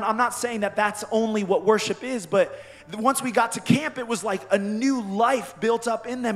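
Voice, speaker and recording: A young male youth pastor preaching with a hoarse, worn-out voice that still sits fairly high. He talks energetically and keeps calling out to the crowd, with faint music underneath. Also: fairly bright tone. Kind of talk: youth sermon.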